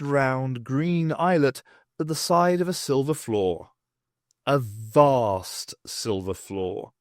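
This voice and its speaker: A man's voice: no room sound, studio quality. A man reading a novel aloud as an audiobook, telling the story expressively. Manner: expressive storytelling with lively shifts in pitch. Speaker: audiobook reader. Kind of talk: scripted narration of a novel.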